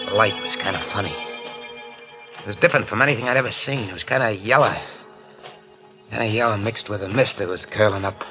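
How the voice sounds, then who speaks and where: An actor playing a paranoid, gun-wielding killer in 1940s radio crime style, talking in tough slang. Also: male voice, fairly low pitch, natural voice. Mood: uneasy, eerie. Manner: slangy, tough-guy talk with double negatives. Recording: dull old radio recording with music.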